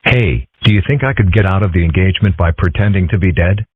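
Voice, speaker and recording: A man voicing deadpan, husky male animal characters in a comic strip dub. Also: low-pitched, quick pace. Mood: wry. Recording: muffled phone-like band.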